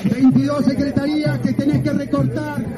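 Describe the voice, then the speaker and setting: Very high, monotone heckling man. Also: normal speed. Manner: insistent, repetitive heckling. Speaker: street protester. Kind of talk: shouted protest chanting. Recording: echoey outdoor clip, loud crowd and music.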